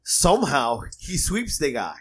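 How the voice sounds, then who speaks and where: A man's voice: fairly high pitch, huge pitch swings, measured pace, a bit bright, slightly rough. A man co-hosting a casual chat, walking through a jiu-jitsu match step by step as the coach. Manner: recounting events step by step.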